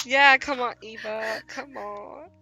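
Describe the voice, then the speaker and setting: A woman's voice: on the high side, slow. A woman hosting a game livestream, chatting casually in slang and reading a visual novel aloud. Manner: playful, slangy, exclaiming. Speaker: livestream host and game player.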